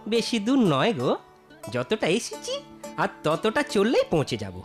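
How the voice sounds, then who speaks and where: A man's voice: sits high, wildly swooping pitch, a little slow, soft background music, exaggerated voice. A man playing an ambitious young Bengali village husband in a cartoon, stubborn and insistent. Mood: impatient. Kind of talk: cartoon voice acting.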